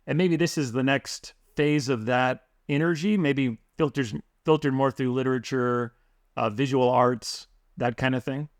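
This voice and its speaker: A man hosting an interview, speaking in a relaxed way and thinking aloud with fillers. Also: mid-range voice, a little slow, no echo, studio quality. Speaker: podcast host. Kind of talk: conversational interview.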